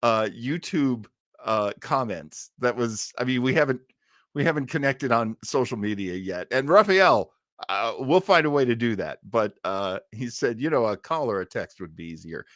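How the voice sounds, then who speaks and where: A man's voice: medium pitch, animated, moderate pace, a bit bright, dry recording, clean studio sound. A man hosting a live stream about old-time radio, chatting in a rambling, enthusiastic, theatrical way. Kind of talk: casual live stream chat.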